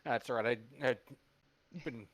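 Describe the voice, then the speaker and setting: A man's voice: medium pitch, slow pace, poor audio. A man talking casually as a panel member, with a wry, sardonic manner and some swearing. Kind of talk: casual chat.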